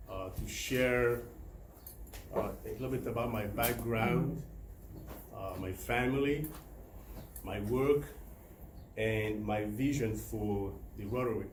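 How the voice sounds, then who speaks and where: A middle-aged man from the Democratic Republic of Congo giving a prepared personal talk to a club, pausing often with filler sounds. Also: on the low side. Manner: hesitant, many filler sounds. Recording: roomy hall with faint ambient noise.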